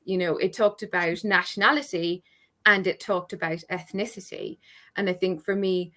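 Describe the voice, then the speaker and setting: An Irish woman with a fairly low voice speaks as a guest on a panel chat over a video call. She sounds reflective and thoughtful, choosing her words with care. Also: fairly even intonation, moderate pace, sharp tone. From Ireland.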